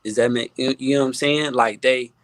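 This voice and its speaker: A man with an American accent talking casually as a guest music producer, halting and repeating words as he stresses his points. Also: medium pitch, fairly even intonation, no echo. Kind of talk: casual panel talk.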